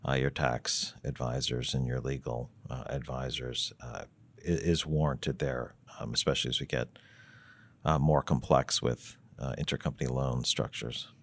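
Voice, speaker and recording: A man explaining finance as a podcast guest, measured and thinking aloud with many fillers and restarts. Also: very deep voice, steady tone, a bit bright. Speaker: treasury consultant guest.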